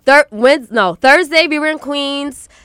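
A woman with a slightly raspy voice, chatting casually as a radio show host. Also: sits high, measured pace, fairly bright tone, no echo, clean studio sound.

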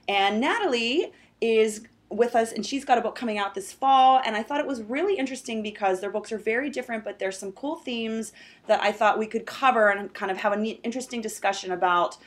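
A woman with a hoarse, scratchy voice hosting a book interview at a convention, chatty and full of restarts. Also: on the high side, a little fast. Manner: chatty, uses many fillers like "like" and restarts. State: hoarse, slightly lost voice. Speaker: podcast host and book editor. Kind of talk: casual interview chat. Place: convention event, indoors.

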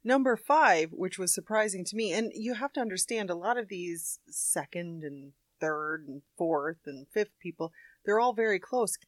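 A woman's voice: fairly low pitch, moderate pace, no echo. A woman co-hosting a radio show in casual, bantering chat.

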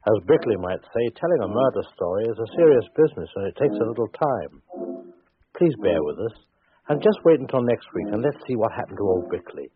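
A man's voice: fairly low pitch, very animated, fairly quick, crowd noise. A man playing a wry, ominous storyteller, narrating a murder tale for a 1940s radio drama. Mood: droll. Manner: wry, suspenseful. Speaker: narrator and host. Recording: tinny, scratchy 1940s radio broadcast.

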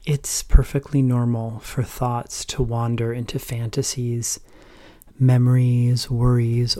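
Slow, gentle man guiding meditation. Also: medium pitch. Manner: gentle, measured, calm instruction with frequent pauses. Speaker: meditation teacher. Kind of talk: guided meditation narration.

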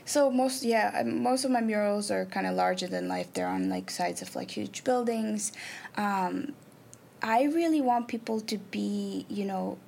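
Gravelly-voiced Nepali-born woman artist. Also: medium pitch, lively intonation, a little mellow. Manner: thoughtful, many ums and pauses. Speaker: artist and arts program manager. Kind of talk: interview answers. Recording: faint background noise.

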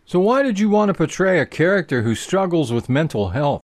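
Male interviewer, highish animated voice, dry close sound. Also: brisk, dry recording. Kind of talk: asking interview questions.

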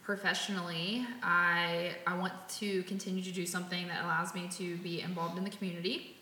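A woman's voice: lowish voice, little pitch movement, brisk, sharp tone, slight background noise. A woman in her late thirties, chatting casually in an interview, full of fillers and restarts. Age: 30s. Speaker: interviewee, community volunteer. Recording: roomy echo.

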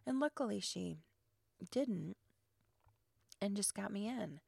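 A woman podcast host from the Chicago suburbs, grandmother age, chatting casually in a froggy early-morning voice, not fully awake, rambling with fillers and soft breathy trailing words. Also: mid-range voice, expressive, takes it slowly, no echo, studio quality. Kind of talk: casual solo podcast monologue.

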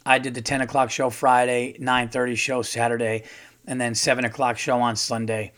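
Slightly hoarse man hosting a podcast. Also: mid-range voice, level tone, normal speed, fairly bright tone. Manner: rambling, chatty, many fillers and restarts. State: slightly hoarse, losing his voice. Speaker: podcast host and comedian. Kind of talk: casual solo podcast monologue.

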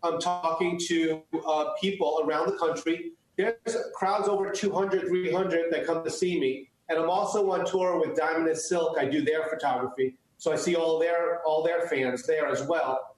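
Male interview guest, native Chinese speaker, earnest with frequent restarts, slightly high voice, very echoey room. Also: fairly even intonation, normal speed, few pauses. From United States. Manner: earnest, many restarts and fillers. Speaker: guest, photographer. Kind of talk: interview answers.

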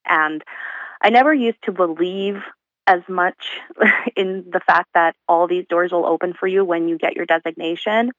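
Woman, 20s, from Uzbekistan, interview guest, slightly low voice, dry muffled remote audio. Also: fairly bright tone, no echo. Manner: thoughtful, pauses with fillers. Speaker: guest, accounting professional. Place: her home. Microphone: remote call from home.

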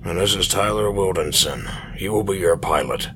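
A man playing a gruff commander with a very deep voice. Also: moderate pace, noisy background, lightly acted. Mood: formal. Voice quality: stern, impatient. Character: gruff impatient male space-agency commander.

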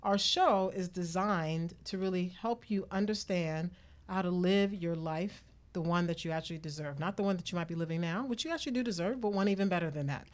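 A woman's voice: on the low side, fairly quick. Woman hosting a casual talk radio show.

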